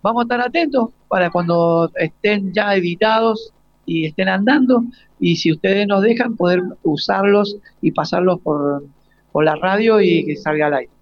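A man's voice: sits high, moderate pace, no echo. An Argentine man hosting an informal live radio interview, asking hesitant questions with repeated starts. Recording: slightly dull, lo-fi live broadcast.

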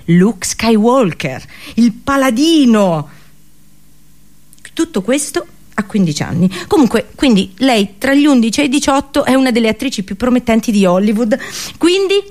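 A woman's voice: fairly high pitch, darkish tone. Sardonic woman performing a comic monologue. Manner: colloquial, sarcastic, exaggerated rhetorical questions. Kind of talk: comic theatrical radio monologue.

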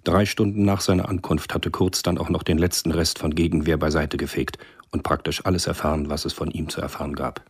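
Very deep, husky male narrator. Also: little pitch movement. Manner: measured, sober storytelling. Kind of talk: scripted radio play narration.

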